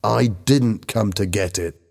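A man's voice: fairly low pitch, takes it slowly, vocal fry, darkish tone, slightly rough, exaggerated voice. A man voicing an irritable, accusing teenage boy in a story, curt and demanding. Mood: angry.

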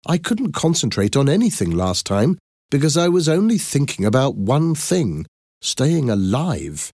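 A man voicing an excitable, determined, cheerful young woman in a story, with eager, emphatic delivery. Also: medium pitch, a little slow, no room sound, studio quality, voice pushed up. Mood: eager, insistent.

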